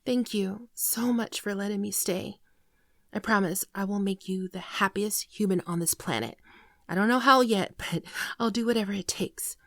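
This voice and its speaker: A woman playing a gentle, naive shapeshifting alien in an ASMR roleplay, speaking softly, hesitantly and tenderly, often near a whisper, close to the microphone. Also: medium pitch, moderate pace, no room sound, natural voice. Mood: grateful. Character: gentle shapeshifting female alien, naive, earnest, shyly affectionate. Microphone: close microphone, dry sound.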